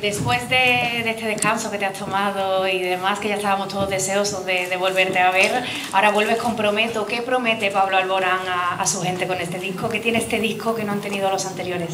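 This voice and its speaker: A woman's voice: medium pitch, steady tone, a little fast, hardly any pauses, background noise. A female radio journalist asking a friendly question at a press conference. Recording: echoey hall, distant from the mic, crowd behind.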